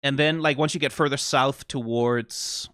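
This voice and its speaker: Chatty Danish man with bright voice. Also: medium pitch, fairly even intonation, normal speed, clean tone, no echo, clean studio sound. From Denmark. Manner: chatty, tangent-prone, swears casually, frequent "like" and "right?". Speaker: reaction video host. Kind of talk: rambling casual chat.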